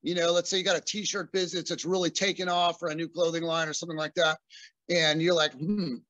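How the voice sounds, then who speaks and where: A man's voice: a little high, level tone, a little fast, a bit bright, no echo, lo-fi sound. A man in his forties from Southern California, a business owner talking through his background in a friendly, rambling way with many fillers. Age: 40s. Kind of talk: casual interview conversation.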